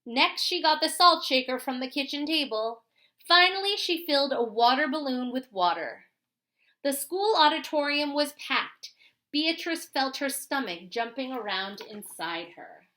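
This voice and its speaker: A woman reads a children's picture book aloud in a high, bright voice. Her delivery is very lively and expressive, with dramatic emphasis and pauses for young listeners. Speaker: storybook reader.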